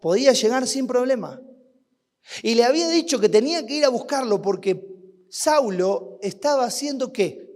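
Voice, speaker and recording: A man with an Argentine accent preaching a sermon at a youth camp, asking rhetorical questions and swinging between loud and hushed. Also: extremely high pitch, lively intonation, normal speed. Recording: long hall reverb.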